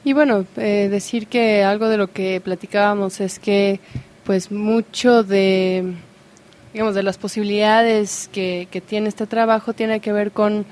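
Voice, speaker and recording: Brief: female radio guest, mid-pitched, filler-heavy, thinking aloud. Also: steady tone, measured pace, darkish tone. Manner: hesitant, many fillers. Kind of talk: radio discussion.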